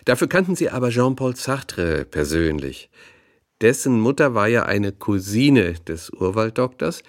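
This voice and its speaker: An actor playing a courteous, well-read radio interviewer with an attentive, conversational manner. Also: male voice, on the low side, sing-song, measured pace. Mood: admiring.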